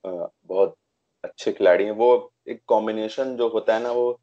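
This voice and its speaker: Low-voiced young man, hesitant, muffled recording. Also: fairly even intonation, moderate pace, mellow. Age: young adult. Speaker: host of a cricket discussion. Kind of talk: conversational sports commentary. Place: indoors, small room, video call. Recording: dull lo-fi video call audio.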